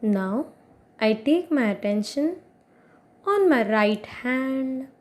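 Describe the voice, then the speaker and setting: Woman doing guided meditation, kinda high voice, super slow, soothing short phrases w long gaps. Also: expressive. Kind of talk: guided meditation, yoga nidra narration.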